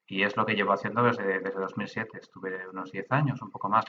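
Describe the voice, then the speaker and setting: Middle-aged man, low bright voice, patient explainer who restarts often, slightly muffled lo-fi remote audio. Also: steady tone, brisk, slight background noise. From Basque Country, Spain. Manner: explanatory, with many restarts and hesitations. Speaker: guest, data protection official. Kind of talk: conversational podcast interview. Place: remote from his own room.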